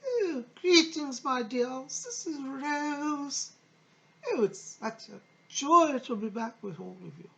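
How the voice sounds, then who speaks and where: A woman's voice: high voice, animated, slow, gravelly, heavily acted, low-quality recording. A woman voicing an effusive, motherly female spirit of a bygone era, teaching in a theatrical, genteel, endearing manner. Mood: effusive, joyful.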